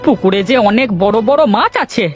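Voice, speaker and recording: A woman's voice: a little high, animated, fairly quick, lightly acted. A woman voicing a servile, cowardly village henchman in a cartoon, with music underneath. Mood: pleading. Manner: servile, whining. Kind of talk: cartoon character dialogue.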